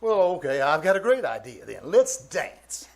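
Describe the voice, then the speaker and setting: Man acting a wheedling, lively radio-comedy blusterer. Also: fairly high pitch. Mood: flirtatious, wheedling. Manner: hearty chuckling, drawn-out coaxing. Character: jovial, wheedling, scheming flirtatious middle-aged man, comic blusterer. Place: live performance on a theater stage.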